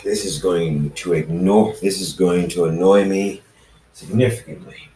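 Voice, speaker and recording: A man reading the part of a brooding, stubborn hybrid pony student in a fan fiction story, in a halting, matter-of-fact way. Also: low-pitched, fairly even intonation, mellow, a little hiss or hum, voice pushed down. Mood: annoyed. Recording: roomy echo, distant from the mic.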